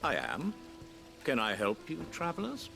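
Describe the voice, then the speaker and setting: Gravelly, guarded underworld lord. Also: male voice, medium pitch, huge pitch swings, bright, forceful tone, exaggerated voice. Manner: formal, dry, deliberate. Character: guarded, formal lord, shrewd fixer for smugglers. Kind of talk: video game cutscene acting. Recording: music under the dialogue.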